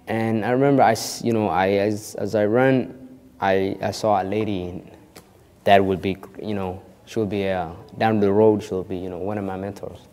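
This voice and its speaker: A man's voice: lowish voice, steady tone, moderate pace, a little mellow, in a large hall. A young man born in Kenya of a Somali family, telling his story in an interview with lots of restarts and "you know". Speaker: actor interviewed.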